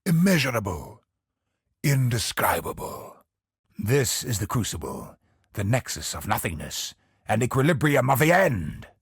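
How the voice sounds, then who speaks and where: An actor voicing a brooding, grandiose godlike villain in a video game, husky and gravelly, with an ominous, deliberate delivery. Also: male voice, medium pitch, sing-song, no echo, studio quality, heavily acted. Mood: ominous, reverent. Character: godlike male cosmic villain, brooding, grandiose, obsessed with perfection. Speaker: video game boss character.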